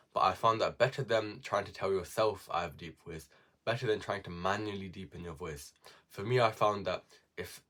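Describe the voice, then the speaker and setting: A man's voice: low voice, bright, forceful tone. A young man giving casual self-improvement advice to viewers, repeating key words for emphasis.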